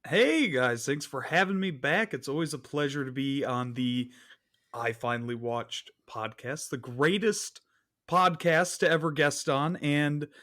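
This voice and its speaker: A man's voice: fairly high pitch, unhurried, pushed and bright. A man chatting casually as a podcast guest, telling stories by acting out exchanges. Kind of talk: casual chat.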